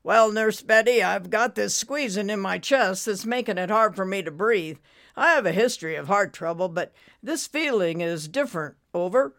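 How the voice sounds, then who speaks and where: Female narrator as older male trucker, ailing, gentle, folksy, plain-spoken, storybook character. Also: mid-range voice, animated, normal speed. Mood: uneasy. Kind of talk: audiobook storytelling.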